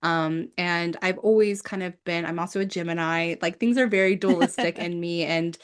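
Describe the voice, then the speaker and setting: A woman's voice: fairly low pitch, fairly even intonation, moderate pace, smooth. A woman from South Korea and the United States speaking as a guest in a reflective interview, earnestly explaining her views. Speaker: guest, Persephone devotee.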